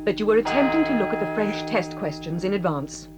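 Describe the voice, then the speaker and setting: An actress playing a stern, dignified headmistress who reproves pupils in a measured way, in a 1980s audio drama. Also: female voice, mid-range voice, lively intonation, over music, natural voice.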